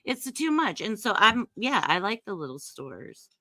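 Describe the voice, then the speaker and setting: A woman's voice: medium pitch, sing-song, measured pace, bright, forceful tone, no echo. A woman in her fifties chatting casually, agreeable and reflective. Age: 50s. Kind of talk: casual chat.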